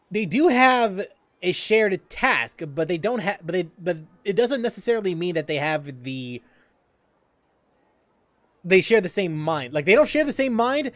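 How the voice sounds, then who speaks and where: A man's voice: sits high, dry recording. A man giving casual, sweary commentary as he streams a game, reactive and exclamatory. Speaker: gaming streamer. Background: game music.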